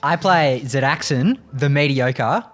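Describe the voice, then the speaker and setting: A man's voice: medium pitch, animated, slow, a bit bright, soft background music. A man chatting casually and jokingly with friends as a player on an improv comedy show, often poking fun at himself. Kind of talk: casual banter among friends.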